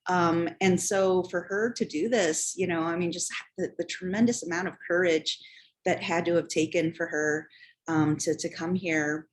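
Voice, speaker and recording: A middle-aged woman from Chicago, speaking on a panel about her upbringing in a halting way, with many pauses and fillers. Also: fairly low pitch, fairly even intonation. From Chicago, United States. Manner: halting, many "um" and "you know". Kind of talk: personal story on a panel.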